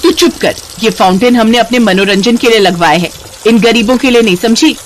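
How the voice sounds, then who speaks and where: A woman's voice: a little high, brisk, slight background noise, close to an everyday voice. A woman voicing an arrogant rich mistress of the house in a moral story, scolding sharply and haughtily.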